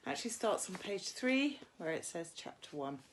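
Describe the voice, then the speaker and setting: Woman, British accent, slightly low pitch, English teacher, reading a story aloud, informal explanatory delivery. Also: very animated, moderate pace, a little hiss or hum.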